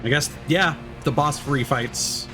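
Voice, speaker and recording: A man's voice: fairly high pitch, takes it slowly, poor audio. A man streaming a video game with casual, reactive commentary that rambles and breaks into exclamations. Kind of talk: casual live gameplay commentary. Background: game music and sound effects.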